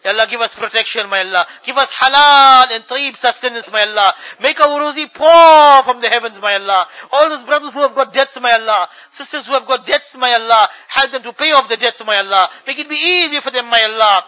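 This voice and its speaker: Very high, bright-voiced man praying fervently. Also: fairly quick, hardly any pauses, low-quality recording. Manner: pleading, ends each plea with a refrain. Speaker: imam leading supplication. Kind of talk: fervent spoken prayer. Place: mosque.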